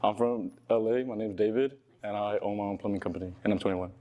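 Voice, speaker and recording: A man's voice: lowish voice, quick pace, heavy background noise. A man in a video clip giving a laid-back, hesitant self-introduction. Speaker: dating contestant in a clip.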